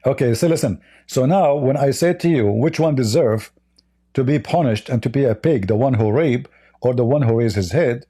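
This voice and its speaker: Insistent debating man with a mellow voice. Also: mid-range voice, moderate pace, slightly rough. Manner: combative, insistent, repeats himself to interrupt. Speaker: debate show host. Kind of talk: heated religious debate.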